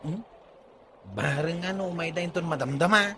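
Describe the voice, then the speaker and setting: A man's voice: on the high side, moderate pace, bright, forceful tone, a little hiss or hum. A man playing a sympathetic village man in a radio comedy drama, with an Ilocano accent. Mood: concerned.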